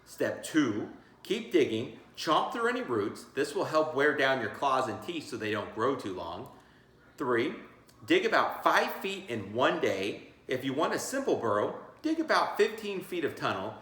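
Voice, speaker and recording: A man's voice: medium pitch, very animated, measured pace, fairly bright tone. A man reading a children's book aloud as a teacher, theatrical and encouraging, breaking off for chatty asides and questions. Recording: echoey room.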